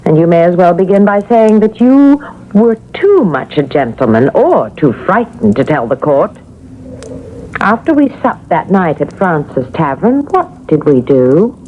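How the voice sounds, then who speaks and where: Vain ghostly beauty on tinny old radio. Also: female voice, fairly low pitch, sing-song, measured pace. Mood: haughty insistence. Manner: haughty, theatrical, teasing. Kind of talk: radio drama acting. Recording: noisy, narrow-band vintage radio broadcast.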